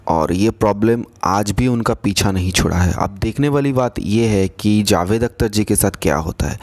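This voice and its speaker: A man presenting news commentary and reading out posts in a deliberate way, halting in English. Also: fairly low pitch, darkish tone. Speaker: host of a news commentary channel.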